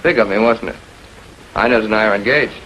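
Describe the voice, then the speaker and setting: A man's voice: fairly low pitch, brisk, fairly bright tone, lightly acted. Male actor as flippant brother-in-law, 1940s crime drama. Glib, smug, sardonic. Light vintage film noise. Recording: noisy old 1940s film soundtrack.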